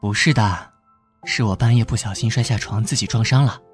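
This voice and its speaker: An actor playing a protective, easily flustered young policeman in an animated drama, expressive and exclaiming, with a teasing big-brother manner. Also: lowish voice, fairly quick, faint music behind, lightly acted. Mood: reassuring, teasing.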